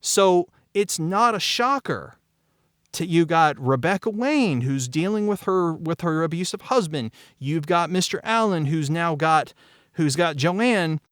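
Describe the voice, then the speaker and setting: A man's voice: a little high, animated, a little slow, dry recording, clean studio sound. A middle-aged man talking candidly in a cast discussion as the writer, emphatic and often circling back to repeat his phrases. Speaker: writer and creator of an audio drama.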